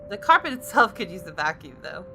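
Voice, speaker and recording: A woman's voice: on the low side, very animated, brisk, pushed and bright, faint music behind, exaggerated voice. A woman voicing a wry, observant female designer who narrates a visual novel in a dry, reflective manner. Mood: matter-of-fact.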